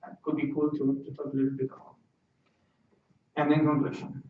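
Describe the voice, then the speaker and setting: A man from Argentina with an Argentine Spanish accent, a Spanish speaker giving a technical presentation at a meeting, halting and repeating words as he searches for them. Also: mid-range voice, monotone. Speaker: guest speaker. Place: meeting room. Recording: roomy, distant, dull sound.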